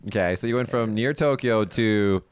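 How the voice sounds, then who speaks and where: A young man hosting a casual podcast, chatty and quick to laugh, asking friendly questions. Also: lowish voice, clean tone, no room sound, clean studio sound. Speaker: podcast host.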